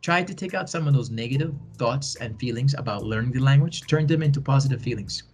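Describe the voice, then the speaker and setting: A man's voice: mid-range voice, quick pace, darkish tone, breathy edge. Podcast guest, male, 40s, earnest and encouraging, repeats key phrases. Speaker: podcast guest, bilingual educator. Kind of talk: conversational interview answers.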